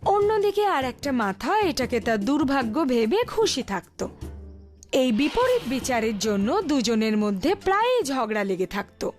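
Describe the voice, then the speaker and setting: High, animated woman storyteller. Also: measured pace. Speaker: narrator. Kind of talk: scripted children's story narration. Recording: faint music behind the voice.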